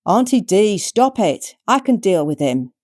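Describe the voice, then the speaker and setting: Woman acting dim adult son, storybook character, mellow, exasperated, put-upon. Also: mid-range voice, very animated, unhurried, pitched above the performer's own voice. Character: slow-witted grown man, exasperated son of a crone.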